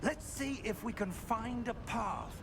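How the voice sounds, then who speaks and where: Old wizard prof in a game, gentle and polite, higher voice, faint and far off under loud noise. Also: male voice, animated, unhurried, heavily acted. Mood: kindly. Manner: gentle, courteous. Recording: game audio heard faintly from afar.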